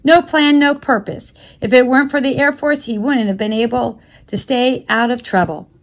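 A woman's voice: sits high, moderate pace, dull audio. A woman voicing a blunt, grumbling old grandfather in a storybook read-aloud.

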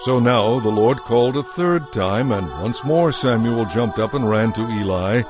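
A man narrating a dramatized audio Bible as a scripted story, measured and solemn. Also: mid-range voice, lively intonation, music in the background.

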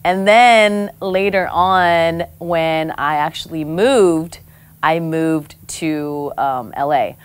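A woman's voice: low voice, slow, clear, resonant tone. A woman in her thirties from American Samoa, chatting as a guest in a studio interview, bubbly and laughing often. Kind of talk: casual interview chat. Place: studio set on a couch, indoors.

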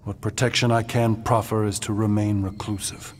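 Man voicing a pompous, deep golden demigod. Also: level tone, normal speed, long reverb tail, faint music behind, close to an everyday voice. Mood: solemn, aloof. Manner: pompous, archaic, alliterative phrasing. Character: solemn godlike golden cosmic being, pompous and aloof. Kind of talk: video game voice acting.

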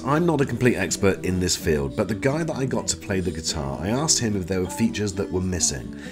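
A man giving a conversational, explanatory product review as a voice-over. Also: fairly low pitch. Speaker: tech reviewer.

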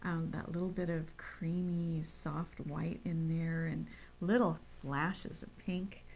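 A woman's voice: deep voice, steady tone, slow, darkish tone, slight background noise, rough recording. A middle-aged woman co-hosting in a studio, talking casually and effusively, drawing out descriptions of colors. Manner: gushing, effusive, lingers on color words. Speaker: co-host. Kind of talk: casual chat. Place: bright studio set at a table.

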